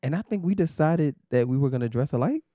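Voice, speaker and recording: A man's voice: on the high side, expressive, quick pace, mellow, no room sound, studio quality. A man, co-hosting a faith podcast in a playful, laughing, easygoing chat. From New York. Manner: playful, hyped, loose and laughing. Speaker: podcast co-host. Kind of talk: casual chat.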